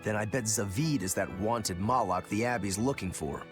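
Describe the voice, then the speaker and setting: A man's voice: fairly low pitch, moderate pace, over music, lightly acted. An actor playing a world-weary, sardonic man in an English game dub, dry and grumbling. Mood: sardonic, wary.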